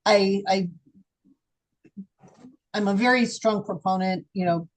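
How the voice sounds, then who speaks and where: An older woman gives an informal update in an online meeting. She talks as a board chair from home, at a medium pitch for a woman. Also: fairly even intonation, takes it slowly, rough recording. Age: older, grandmother.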